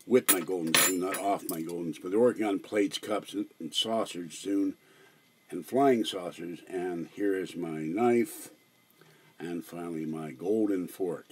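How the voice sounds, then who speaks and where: Hoarse older man rambling on a live stream. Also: on the low side, darkish tone. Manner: meandering, halting, with many fillers. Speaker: livestreamer. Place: small home room.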